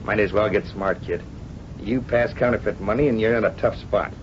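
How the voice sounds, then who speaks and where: A man's voice: on the low side, a little fast, close to an everyday voice. A man acting a tough, menacing counterfeiting boss from vintage radio. He gives curt, coercive threats in gangster slang. The sound is dull and plainly noisy. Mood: coolly threatening. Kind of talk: 1930s-40s radio drama acting.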